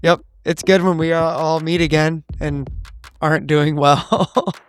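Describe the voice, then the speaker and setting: Guy with a lightish higher voice, sarcastic, just chatting on a game podcast. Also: steady tone, unhurried, smooth. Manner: wry, sarcastic. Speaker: player on a tabletop podcast. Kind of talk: casual chat.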